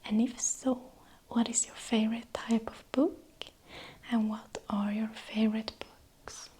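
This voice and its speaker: A young woman with a Swedish accent whispering softly in an ASMR question-and-answer video, unhurried, with small hesitations. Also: fairly high pitch, level tone, husky. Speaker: ASMR creator.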